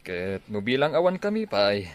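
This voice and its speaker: A man playing a plain-spoken, protective village chieftain father in a radio drama, with a Filipino accent and a measured delivery. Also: lowish voice, big pitch swings. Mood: fatherly, instructing.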